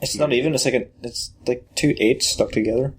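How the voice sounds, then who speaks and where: A man's voice: medium pitch, steady tone, fairly quick. A man co-hosting a group reading, cutting in with casual banter. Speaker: co-host interjecting. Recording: very noisy mic with clicks.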